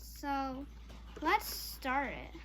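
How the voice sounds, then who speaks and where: High-pitched child reading a storybook aloud, slowly. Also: big pitch swings, pushed and bright, slight background noise, poor audio. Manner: informal, apologizes and speaks straight to listeners. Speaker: storybook reader for online viewers.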